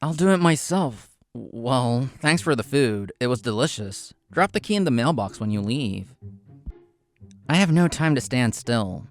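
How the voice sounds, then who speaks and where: A man's voice: mid-range voice, animated, a little slow, soft background music. A man playing a proud, competitive young consultant in a manga drama, intense and curt, narrating and speaking his lines. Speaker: narrator and main character.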